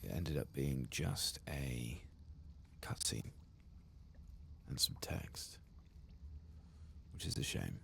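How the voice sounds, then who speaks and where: A man narrating a game playthrough in a hushed, unhurried ASMR style, often slipping into a whisper. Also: a bass voice, very animated, vocal fry. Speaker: host of a gaming ASMR channel. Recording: faint background noise.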